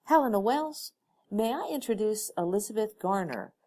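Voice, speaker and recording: A woman reading the part of a brisk, mischievous woman chief executive in an audiobook, with clipped politeness. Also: mid-range voice, animated, dark tone, pitched above the performer's own voice, natural voice. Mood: formal.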